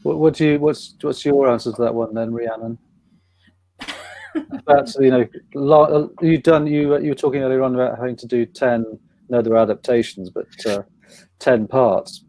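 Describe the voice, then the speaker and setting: A man's voice: mid-range voice, fairly even intonation, moderate pace, gravelly, dark tone, no echo. A man moderating a casual panel, putting questions in a conversational, slightly hesitant way. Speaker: panel host.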